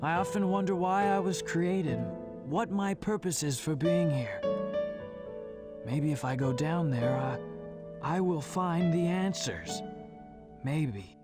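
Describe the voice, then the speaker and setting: A man's voice: a little high, takes it slowly, pauses often. A man voicing a brooding, sorrowful hedgehog antihero in a video game cutscene, melancholy and reflective. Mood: melancholy, searching. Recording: game audio under loud music. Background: loud game music.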